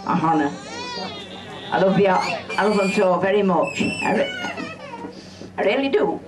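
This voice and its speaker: A woman's voice: medium pitch, wildly swooping pitch, measured pace. An older woman giving an informal, rambling, repetitive speech to guests, emotional and close to tears. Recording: distant home video, loud crowd.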